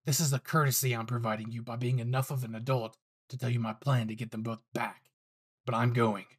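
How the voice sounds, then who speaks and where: A man's voice: mid-range voice, slightly rough. A man voicing a furious, foul-mouthed young male hero in an anime fan audio drama, aggressive and ruthlessly protective. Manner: aggressive, profane, defiant.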